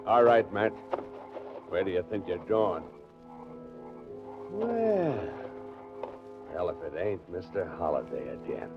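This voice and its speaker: An actor playing a menacing, taunting tough with slangy speech in a 1940s radio drama. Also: male voice, sits low, wildly swooping pitch, slow, long pauses, exaggerated voice. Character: menacing, sneering male tough. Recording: muffled old radio recording under music.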